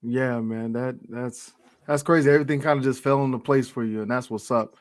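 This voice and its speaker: A man's voice: medium pitch, fairly even intonation, brisk, no echo. Male interview host, mid-range voice, laid-back slangy delivery, very dry recording. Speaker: host and interviewer of a hip-hop show. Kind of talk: casual interview chat.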